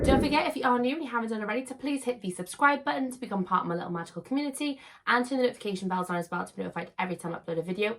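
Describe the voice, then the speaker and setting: A woman in her thirties, hoarse and croaky from losing her voice, chatting upbeat to camera as a YouTuber. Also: mid-range voice, a bit bright. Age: 30s. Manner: chatty, upbeat, repeats words for emphasis. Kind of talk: casual chat to camera.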